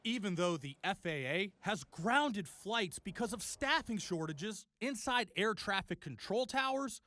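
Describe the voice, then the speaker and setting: A man's voice: high-pitched, animated, unhurried, pushed and bright. An American man presenting a scripted television news report, recorded with background noise.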